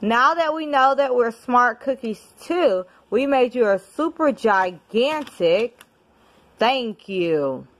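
A woman reading a children's picture book aloud at home in an expressive, deliberate storybook manner. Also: fairly high pitch. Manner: measured, deliberate storybook delivery. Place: indoors at home.

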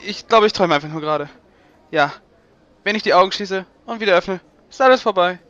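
A man's voice: high voice, a little fast, sharp tone, dry recording. A man playing an exasperated, incredulous young stallion on trial in a comedy audio drama, on a dull microphone.

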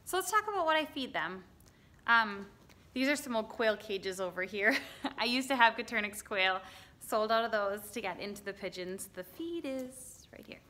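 Woman in her thirties, bright and chatty, casual vlog from a barn coop on a handheld phone. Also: a little high, animated, normal speed. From Wisconsin, United States. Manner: chatty, lots of ums and likes. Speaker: hobby farmer vlogger. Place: inside a wooden barn pigeon coop. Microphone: phone held at arm's length.

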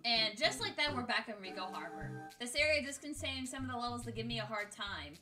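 A woman's voice: fairly high pitch, animated, fairly quick, distant mic. A woman hosting a gaming video, giving casual scripted countdown commentary in an exasperated, sweary, jokey way. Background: music.

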